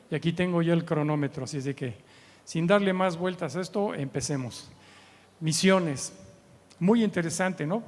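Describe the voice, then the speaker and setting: Guest preacher: Mexican man, early 70s, fairly high voice, chatty and humorous teaching, long hall reverb. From Mexico City, Mexico. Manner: conversational, humorous, often seeks agreement with short tag questions. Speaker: guest preacher and missions trainer. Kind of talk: informal church teaching with anecdotes. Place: church meeting hall.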